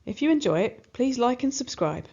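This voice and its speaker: A woman narrating a children's storybook aloud in an expressive style. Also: on the high side, moderate pace, muffled recording.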